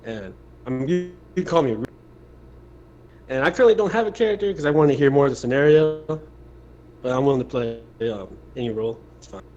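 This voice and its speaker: Casual male gamer, medium pitch, lo-fi muffled mic, faint noise. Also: expressive, brisk, a little mellow. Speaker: tabletop game player. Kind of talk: casual chat on an online game session.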